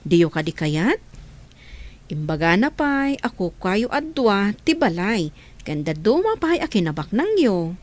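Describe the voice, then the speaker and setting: A woman's voice: medium pitch, big pitch swings, measured pace, darkish tone. A woman playing a protective, reassuring middle-aged guardian in a radio drama, explaining things patiently. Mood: persuasive. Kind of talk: radio drama acting in Ilocano.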